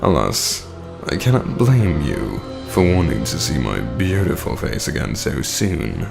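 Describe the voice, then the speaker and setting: Audio drama vampire lord: very low, slow, gravelly, smugly theatrical menace. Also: male voice, huge pitch swings, loud music behind the voice, heavily acted. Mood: smug gloating.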